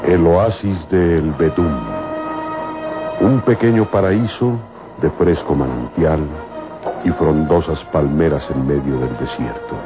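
Deep-voiced male narrator over loud music. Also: slow pace. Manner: solemn, literary storytelling. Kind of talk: dramatic scripted radio serial narration. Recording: muffled old radio serial recording. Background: loud dramatic music.

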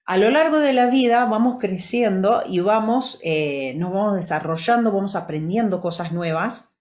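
A woman's voice: medium pitch, expressive, normal speed, darkish tone. A woman from Brazil, a native Portuguese speaker, co-hosting a podcast and explaining things conversationally and didactically, with rhetorical questions. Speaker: podcast co-host. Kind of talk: conversational explanation with simple comparisons.

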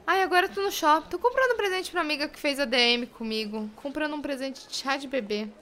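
A woman's voice: high-pitched, quick pace, pushed and bright. A Brazilian woman playing a bubbly, self-questioning young professional in an audio drama. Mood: excited. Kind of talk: audio drama dialogue and monologue.

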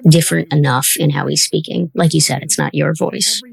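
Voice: medium pitch, fairly even intonation, a little fast, vocal fry. A writer-director hosting a casual commentary chat, talking in a thoughtful, analytical way.

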